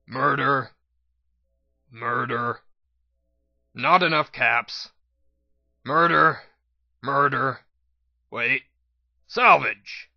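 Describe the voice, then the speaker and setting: A man voicing a sardonic, weary male pony in a deadpan manner for a storybook reading. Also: medium pitch, extremely slow, gravelly, pauses often, dry recording, highs cut off, exaggerated voice. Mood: dry, unimpressed.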